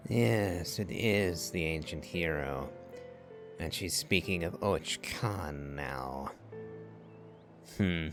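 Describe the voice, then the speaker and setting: A man doing casual playthrough commentary and reading along, in a musing, unhurried way. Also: deep voice, over music.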